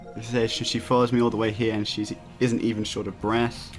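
A man's voice: on the low side, flat delivery, quick pace. A man hosting a let's play, reading a visual novel aloud with casual asides and stumbling over the text. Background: game music.

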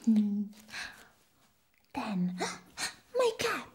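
A young woman playing an eccentric, flustered vampire nurse in a whispered ASMR roleplay, slow and halting, repeating her words. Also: a little high, wildly swooping pitch, exaggerated voice. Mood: flustered, playful. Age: young adult. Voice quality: breathy, whispery. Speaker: ASMR performer. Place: small indoor room set as a clinic. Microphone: close microphone.

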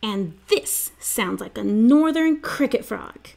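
A woman's voice: a little high, animated, takes it slowly, creaky, a little mellow, exaggerated voice. A woman reading the part of an enthusiastic, emphatic young boy in a children's storybook. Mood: excited. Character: eager young boy obsessed with frog sounds.

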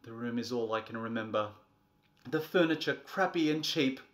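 A man in his thirties doing a comedic, theatrical storybook reading, moving between a soft bedtime tone and exasperated delivery. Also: medium pitch, moderate pace. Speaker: presenter reading a picture book. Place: small furnished nursery room indoors.

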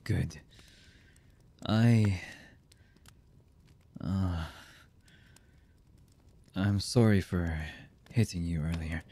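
Guy voicing a young anime villain boyfriend, low husky voice, super slow n hushed, guarded, wounded, asmr style. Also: a little hiss or hum, exaggerated voice. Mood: guarded, softening. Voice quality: husky, breathy. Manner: slow, halting, hushed delivery with long pauses. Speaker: voice actor.

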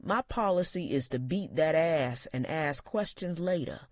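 Narrator doing a gruff older bartender, raspy growl, folksy drawl, dry sound. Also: medium pitch, animated, unhurried, dry recording. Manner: folksy drawl, loud and blustery. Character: gruff older male bartender uncle, protective.

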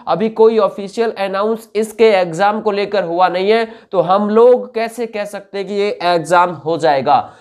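A young man speaks like a coaching teacher addressing students on camera. He explains things informally but emphatically. He restates key points and often checks that he is being followed. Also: sits high. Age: 20s. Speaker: exam coaching YouTuber. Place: indoor room with whiteboard.

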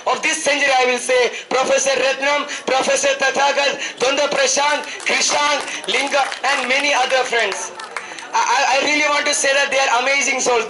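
A man in his twenties with an Indian accent, a student activist making an impassioned political speech, haltingly and repeating phrases for emphasis into a handheld microphone. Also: very high-pitched, bright, forceful tone, poor audio. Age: 20s. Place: outdoors at night, public gathering. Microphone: handheld microphone held close to the mouth.

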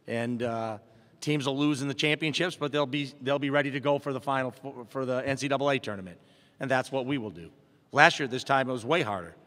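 A man's voice: mid-range voice, fast talker, fairly bright tone. Middle-aged guy coaching, press conference mic, talks upbeat w lots of uhs, big echoey room. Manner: plainspoken, upbeat, many fillers and restarts. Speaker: basketball head coach. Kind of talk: postgame press conference answers. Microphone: table mic in front. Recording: long hall reverb.

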